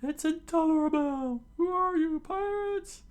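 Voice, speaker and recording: A man voicing alarmed, angry townspeople in a shrill, shouting falsetto for a read-aloud adventure story. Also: lively intonation, slow pace, full character voice. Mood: outraged. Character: angry, alarmed townspeople mob loyal to their mayor.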